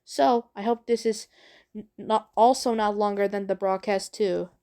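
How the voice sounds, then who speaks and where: A woman talking casually and unscripted as a video creator, in a halting, rambling way with repeated phrases. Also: mid-range voice, steady tone, warm tone, clean tone. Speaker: video creator introducing own work. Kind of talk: casual unscripted vlog talk.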